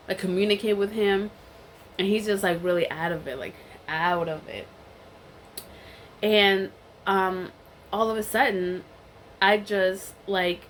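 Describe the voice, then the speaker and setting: A woman's voice: medium pitch, a bit bright, slight background noise, low-quality recording. A young woman with a cold and a stuffy voice, telling a rambling story in a casual chat while she eats. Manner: chatty and rambling, many fillers and restarts. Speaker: vlogger.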